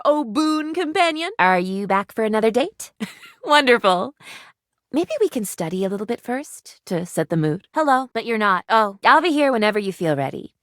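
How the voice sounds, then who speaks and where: Eager, high-voiced, quirky young lady doctor. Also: a bit bright, dry recording, studio quality. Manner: theatrical, gushing, old-fashioned whimsical wording. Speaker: voice actress in a dating game. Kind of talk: animated video game character acting.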